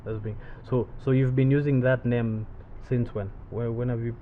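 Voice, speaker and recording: A man's voice: mid-range voice, fairly even intonation, moderate pace. A young man hosting a podcast, asking his questions with many hesitant restarts. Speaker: podcast host. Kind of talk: casual interview questions. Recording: thin phone-like audio with faint noise.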